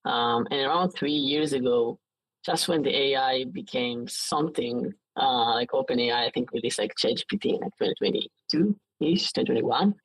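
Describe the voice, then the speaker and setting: Husky young man with an Israeli accent. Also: mid-range voice, no room sound, poor audio. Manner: halting, thinks aloud with frequent false starts. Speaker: startup founder, interview guest. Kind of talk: casual interview conversation.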